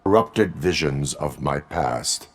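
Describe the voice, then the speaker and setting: Male cyborg cop, very low voice, stoic, curt, deadpan, video game. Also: slow pace, exaggerated voice. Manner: deadpan, terse.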